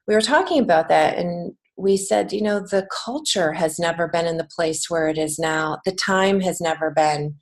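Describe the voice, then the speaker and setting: Woman, American, slightly low voice; earnest podcast guest who thinks aloud in halting, conversational phrases. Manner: earnest, reflective, halting with many false starts. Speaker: podcast guest, children's author and creative writing teacher. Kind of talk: casual interview conversation.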